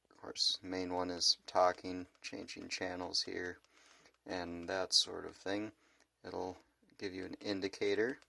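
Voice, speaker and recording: A man's voice: low voice, level tone, measured pace, creaky, bright, forceful tone, no echo. A man giving a casual, laid-back product review in a plain-spoken way. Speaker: gadget reviewer and vlogger.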